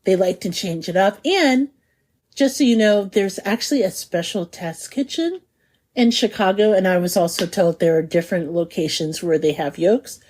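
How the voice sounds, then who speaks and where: A woman's voice: medium pitch, expressive, moderate pace, darkish tone. A woman co-hosting a food podcast in casual chat, bubbly and chatty, laughing often. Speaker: podcast co-host.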